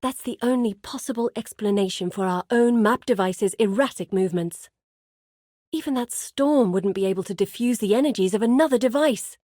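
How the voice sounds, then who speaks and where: An actress playing an earnest, burdened woman explorer in a fantasy video game, speaking in a measured, articulate way. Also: on the high side, mellow, no room sound, clean studio sound, lightly acted. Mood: urgent, worried. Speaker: video game character voice actor. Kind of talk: dramatic fantasy game dialogue.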